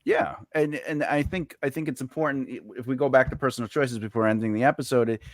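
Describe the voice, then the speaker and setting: A man's voice: medium pitch, fast, no room sound. A man hosting a casual podcast chat, rambling with lots of fillers and restarts. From United States. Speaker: podcast host.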